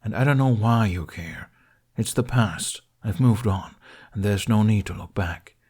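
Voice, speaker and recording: A proud dragon speaks in a low male voice, as a man narrates him in an audiobook. He is brooding and terse, weighed down by shame, with a growling edge. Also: unhurried, darkish tone, husky. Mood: guarded.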